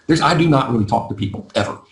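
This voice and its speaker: A man coaching a live webinar in a chatty, self-deprecating way, his voice going a little hoarse. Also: medium pitch, lively intonation, reverberant. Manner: fast, chatty, self-deprecating, often asks "right?". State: losing his voice. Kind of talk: casual live webinar teaching.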